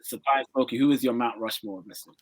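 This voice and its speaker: A man hosting a podcast over a video call, asking guests questions in a chatty way and repeating quick fillers. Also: mid-range voice, steady tone, no room sound. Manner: chatty, repetitive fillers like "okay, okay" and "cool, cool". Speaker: podcast host. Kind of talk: casual podcast interviewing.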